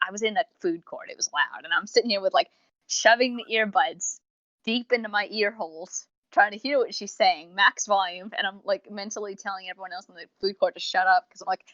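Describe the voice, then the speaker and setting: A woman's voice: mid-range voice, a bit bright, no echo. A young woman talks as a podcast co-host in a casual, chatty way. She wanders into tangents and cuts across her own sentences. The sound is close and dry, with a slightly muffled lo-fi quality. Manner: chatty, tangent-prone, self-interrupting. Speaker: podcast co-host reviewing audio dramas. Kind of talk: casual rambling review chat. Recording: dull, lo-fi close dry mic.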